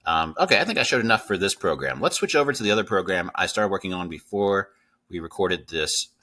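A man in his thirties with a low-pitched, bright and forward voice walks learners through a coding tutorial. He explains each step informally, checking in with short tag questions as he goes. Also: animated, fast talker. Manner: informal, instructive, checks in with "right?" and "okay?". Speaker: coding teacher. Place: indoors at a computer.

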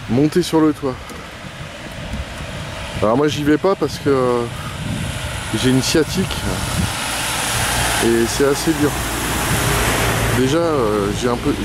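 A middle-aged Frenchman chats casually to his camera, stopping for fillers as he narrates a family road trip. His voice sits at a middle pitch, picked up by the camera's own mic. Vehicle and outdoor noise run clearly behind him. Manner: chatty, hesitant with fillers. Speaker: family travel vlogger. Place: outdoors at a ski resort and in a motorhome. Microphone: handheld camera mic. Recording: noisy outdoor camera audio. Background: vehicle and outdoor ambience.

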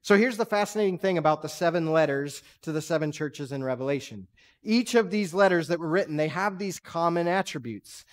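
A man's voice: a little high, animated, brisk. A man in his thirties, a pastor, preaching a sermon in a conversational, reflective way with rhetorical questions, on a church stage. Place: large church sanctuary stage.

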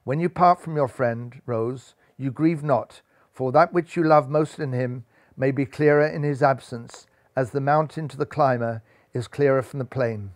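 Man voicing wise old male maharaja creature, gentle, solemn, measured, poetic, storybook reading. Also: medium pitch, no echo, clean studio sound, natural voice. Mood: consoling.

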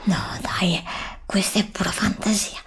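A woman playing a curious, stubborn student in a whispered ASMR roleplay, hushed, insistent and pleading. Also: medium pitch, steady tone, a little slow, vocal fry. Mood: insistent, incredulous.

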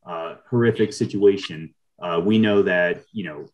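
A middle-aged man speaking as an interview guest on a video call, explaining things in a measured, conversational way. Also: sits low, darkish tone.

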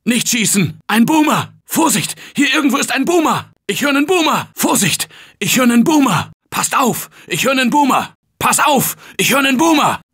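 Game survivor, urgent, bright high voice, lively, unhurried delivery. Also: male voice, husky, full character voice. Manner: urgent barked warnings and calls for help. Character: male game survivor, tense office worker fighting zombies. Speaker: German dub of a game character. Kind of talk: game voice clips.

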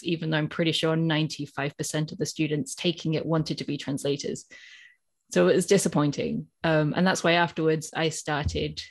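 Low-voiced British woman, chatty. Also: creaky. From Britain. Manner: chatty, self-deprecating, laughs often. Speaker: translator panelist. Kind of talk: casual panel talk. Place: home office lined with bookshelves. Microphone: headphones with a desk mic, video call.

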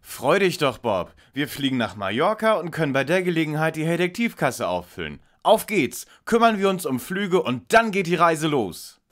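Man playing a know-it-all young detective, animated pitch. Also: normal speed, hardly any pauses. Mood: smug, lecturing. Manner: precise, pompous, lecturing. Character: self-assured, know-it-all young male detective leader. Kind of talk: audio drama parody acting.

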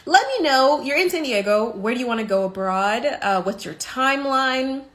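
A woman's voice: high-pitched, moderate pace. An American woman in her thirties hosting a casual live stream from her living room, talking animatedly into a phone held close. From United States. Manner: animated, expressive, talks with her hands. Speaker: podcast host on a live stream. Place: bright living room indoors.

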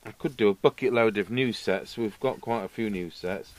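A man in middle age speaks in a fairly low voice. He gives an informal running commentary from his workbench, often musing to himself as he goes. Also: moderate pace. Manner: musing aloud to himself while working. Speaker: radio repair video host. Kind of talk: casual hands-on workbench commentary. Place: indoor workbench, overhead camera.

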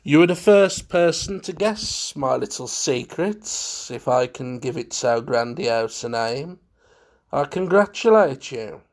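A man voicing a grim, secretive collector in a storybook, speaking in a measured, hard, coldly courteous way. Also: high-pitched, very animated, gravelly, a little mellow, pitched above the performer's own voice, full character voice. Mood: hard, disagreeable. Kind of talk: dramatised storybook reading.

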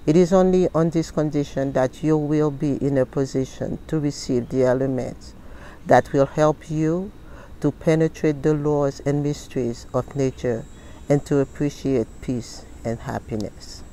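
A woman in her sixties speaking in an earnest, deliberate and sometimes halting way, recorded outdoors. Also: sits low, steady tone, warm tone, a little hiss or hum, low-quality recording. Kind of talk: personal testimonial and reading aloud from a book. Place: outdoors in a leafy garden.

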